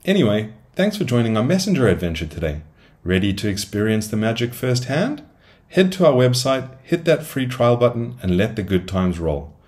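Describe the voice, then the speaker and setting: A man's voice: mid-range voice, big pitch swings, moderate pace, darkish tone. A man presenting a product demo as a solution engineer, reading scripted tutorial narration in an upbeat, salesy way. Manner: upbeat, salesy phrasing with rhetorical questions.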